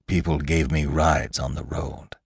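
Sinister guarded man, acted by a male reader: low pitch, terse dry replies, close and dry sound, story narration. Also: steady tone, unhurried, creaky, husky, no room sound, exaggerated voice. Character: cold, wary, secretly murderous man.

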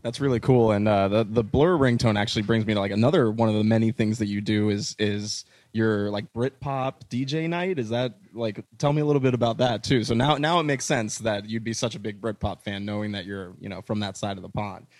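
Low-voiced casual male interviewer. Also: fairly quick, few pauses, a little mellow, no echo. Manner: friendly, hesitant questions with restarts.

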